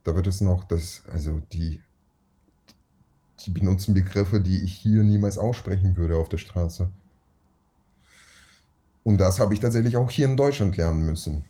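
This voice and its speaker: Deep, dark husky-voiced man. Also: normal speed, pauses often. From Uzbekistan. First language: Russian. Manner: slangy, emphatic storytelling. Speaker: actor guest. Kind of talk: casual podcast interview.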